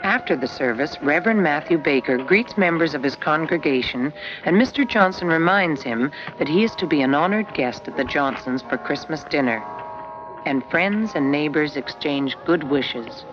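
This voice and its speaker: Vintage educational film narrator, measured storyteller, mid-pitched voice, lo-fi soundtrack with prominent guitar music. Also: expressive. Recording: dull lo-fi 1970s film soundtrack.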